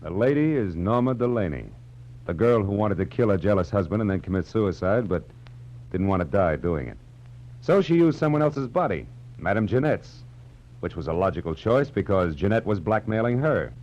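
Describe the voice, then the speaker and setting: Male actor for weary American noir detective, clipped and wry, deep voice, muffled vintage radio sound. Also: lively intonation, normal speed, a little mellow, lightly acted. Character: world-weary, hard-boiled male private detective. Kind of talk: 1940s radio noir acting and narration. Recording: dull 1940s radio recording with music.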